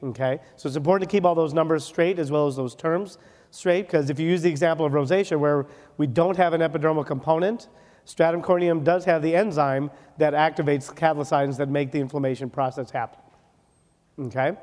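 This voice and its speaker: Male medical lecturer from Wisconsin, slightly high voice, joking and brisk, checks in with "okay?", long hall reverb. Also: steady tone, darkish tone. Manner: joking, rapid, ends points with "okay?". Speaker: dermatologist lecturer. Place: conference hall.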